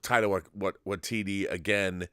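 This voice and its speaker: A man hosting a casual film discussion, talking in a halting way with repeated and rephrased starts. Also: deep voice, fairly even intonation, a bit bright, clean tone, dry recording, clean studio sound.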